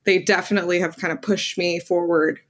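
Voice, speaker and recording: A woman's voice: on the low side, fairly even intonation, a little fast. Earnest young woman lawyer. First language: Armenian. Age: 20s. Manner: candid, earnest, with fillers. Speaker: guest lawyer. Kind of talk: casual interview answers.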